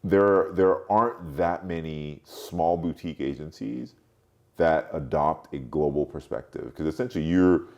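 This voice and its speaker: Late 40s guy with a super low deep voice, thoughtful podcast guest, kinda halting, repeats words as he thinks. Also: vocal fry, darkish tone. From Europe, mostly France, born in Cameroon. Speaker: podcast guest, marketing strategist. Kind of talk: reflective interview talk.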